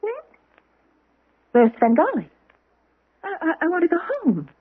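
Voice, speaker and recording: Confused young woman, breathy, faltering, sweet, dry close sound, narrow old radio broadcast, 1970s drama. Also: very high voice, wildly swooping pitch, unhurried, dry recording, full character voice. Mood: puzzled, anxious.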